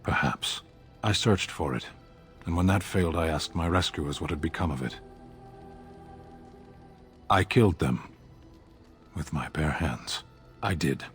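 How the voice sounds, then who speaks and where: A man playing a grave, proud foreign warrior in a video game, speaking in terse, measured, formal sentences. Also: rumbling low. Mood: grave, confessional. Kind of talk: video game dialogue acting. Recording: music behind the voice. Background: game music.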